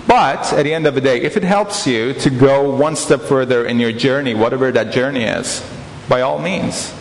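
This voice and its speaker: A man's voice: mid-range voice, moderate pace, vocal fry, a little hiss or hum. Guest speaker, man, 30s, earnest, headset mic, roomy hall. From Iran. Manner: earnest, gesturing, restarting phrases. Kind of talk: church talk. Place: church hall, indoors. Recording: echoey church hall reverb.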